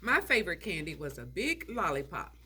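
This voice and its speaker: A woman's voice: on the low side, big pitch swings, a little fast, sharp tone, a little hiss or hum. A woman reading the part of a haughty, tart, nostalgic older society lady in a stage play. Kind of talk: stage play table read.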